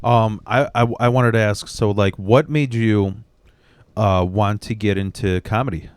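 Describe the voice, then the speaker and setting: A man in his thirties hosting a casual podcast chat, talking haltingly with lots of restarts. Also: fairly low pitch, steady tone, clear, resonant tone. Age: 30s. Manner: halting, stumbling, teasing. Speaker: podcast host, stand-up comic. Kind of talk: casual conversation.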